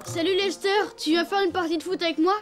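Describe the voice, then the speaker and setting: A woman's voice: very high-pitched, steady tone, quick pace, over music. A woman voicing a friendly, helpful young boy in a French cartoon.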